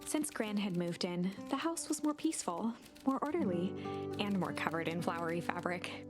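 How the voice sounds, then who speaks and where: A woman playing a formal, measured storybook narrator who addresses the reader with a coy, theatrical air. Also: fairly low pitch, lively intonation, natural voice. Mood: calm storytelling. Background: guitar music.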